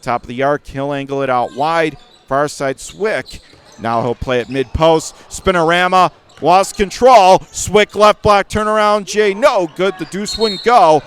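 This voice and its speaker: A man doing live radio play-by-play of a basketball game in clipped running phrases, with excitable calls. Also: fairly high pitch, a little slow, clear, resonant tone, faint music behind. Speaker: play-by-play commentator.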